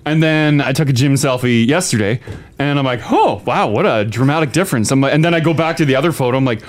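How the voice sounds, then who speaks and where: A man's voice: medium pitch, fast talker. A man, co-hosting a podcast in casual chat, jokey and laughing often. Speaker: podcast co-host.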